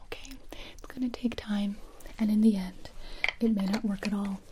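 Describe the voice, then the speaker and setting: A woman voicing a blunt female skincare specialist in a comedic ASMR roleplay, whispering in a deadpan, mock-soothing way. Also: medium pitch, moderate pace, background noise, lightly acted.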